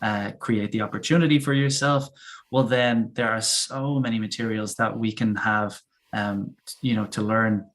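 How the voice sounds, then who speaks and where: A man's voice: lowish voice, steady tone, moderate pace, fairly bright tone. A man who teaches English, chatting casually and enthusiastically, often repeating words as he works out his thoughts.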